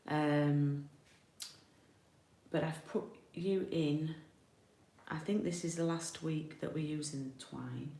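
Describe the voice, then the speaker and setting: A woman's voice: low voice, fairly even intonation, slow, gravelly, mellow. A woman with a Yorkshire accent giving a casual live craft tutorial, chatty and informal, thinking aloud as she works. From Yorkshire, England. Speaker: card-making demonstrator, host. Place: indoor room with a window. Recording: roomy, distant mic, faint noise, clicks.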